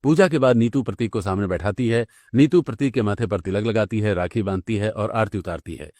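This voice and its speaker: A man's voice: lowish voice, fairly quick, darkish tone, no echo. A man delivering scripted narration for a cartoon story.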